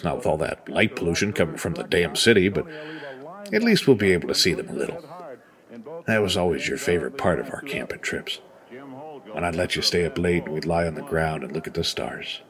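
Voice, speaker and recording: A man playing a folksy, doting, teasing middle-aged father in a naturalistic audio drama, rambling and ribbing as he talks. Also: deep voice.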